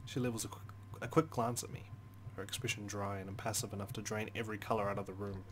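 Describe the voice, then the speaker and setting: A man reading first-person game narration aloud as a let's play host, expressive, with dramatic, deliberate phrasing. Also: fairly low pitch, a little hiss or hum. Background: music.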